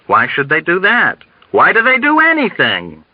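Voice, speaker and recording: A man voicing a bewildered, agitated soldier who shouts incredulously in an audiobook novel. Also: high-pitched, huge pitch swings, unhurried, husky, dry recording, dull audio, voice pushed up, heavily acted. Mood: dread.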